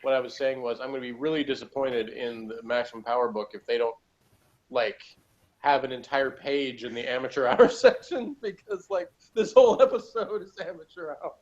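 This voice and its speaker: A man's voice: medium pitch, brisk. A man chatting casually as a co-host, laughing a lot and rambling with restarts. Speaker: co-host reviewing a TV episode. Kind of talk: casual chat. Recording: dull lo-fi sound.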